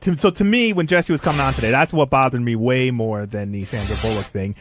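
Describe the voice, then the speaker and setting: A man's voice: medium pitch, a little fast, slight background noise. A man on a radio wrap-up show, arguing his point firmly and emphatically while others talk over him. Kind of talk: heated studio debate.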